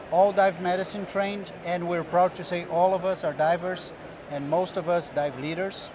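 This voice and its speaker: Brazilian man, middle-aged physician guest, slightly raised pitch, measured explainer, noisy trade floor behind. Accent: Brazilian Portuguese. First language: Portuguese. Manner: measured, explanatory, with small restarts. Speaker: diving medicine physician guest. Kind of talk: interview answers. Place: busy expo booth floor.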